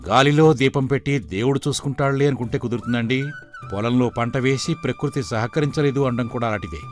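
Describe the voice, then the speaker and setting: An actor playing a proud, self-made, miserly village moneylender who justifies himself firmly in a moral story. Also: male voice, medium pitch, brisk, close to an everyday voice. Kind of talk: dramatised moral story dialogue.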